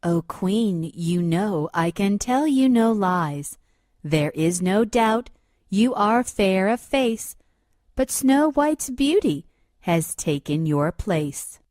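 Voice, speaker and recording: A woman's voice: medium pitch, expressive, slow, a little mellow, no room sound, studio quality. A woman voicing a solemn, truthful magic mirror in a storybook, speaking in formal rhyming pronouncements.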